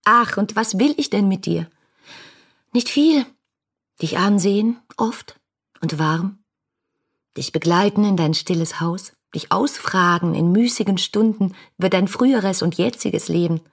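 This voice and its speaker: An ardent young woman in love in a literary radio drama. Her voice is husky and sits at a middle pitch. She delivers her letters as lyrical, fervent monologues. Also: measured pace. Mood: tender longing. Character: ardent, lovestruck young woman writing to a poet.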